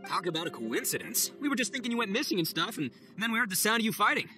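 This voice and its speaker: A brash, boastful oni boss. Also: male voice, high-pitched, big pitch swings, racing, over music. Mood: boisterous. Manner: loud, boastful, goofy, shouting. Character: boisterous brash young oni gang boss, male. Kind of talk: video game cutscene voice acting.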